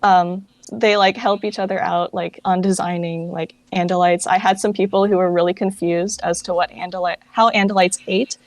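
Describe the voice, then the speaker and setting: A woman's voice: fairly low pitch, fairly even intonation, normal speed, few pauses, dry recording. Casual, dry-sounding woman in conversation. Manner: informal, hesitant, filler-heavy phrasing with frequent "like". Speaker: interview guest, founder of a fan dub project. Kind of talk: casual interview chat.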